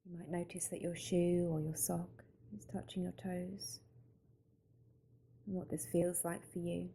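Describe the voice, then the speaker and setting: A woman leads a slow guided meditation in a very soft, dark, mellow voice. Her delivery is calm and unhurried, staying very even in tone, with pauses between gentle instructions. A light background noise sits behind her. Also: lowish voice, creaky.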